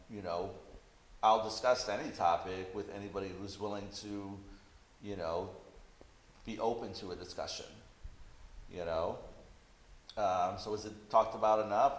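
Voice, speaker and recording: A man chatting casually as a guest, in a conversational way full of small checking phrases. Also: fairly low pitch. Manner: conversational, peppers speech with "you know". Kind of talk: casual chat. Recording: roomy echo with clear background hiss.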